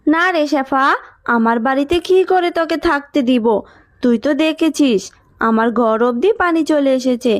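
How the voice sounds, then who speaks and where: Casting: woman as greedy cartoon landlady, high voice, curt, dismissive, unfeeling. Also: normal speed, natural voice. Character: greedy, unfeeling landlady refusing her maid shelter. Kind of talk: Bengali cartoon dub.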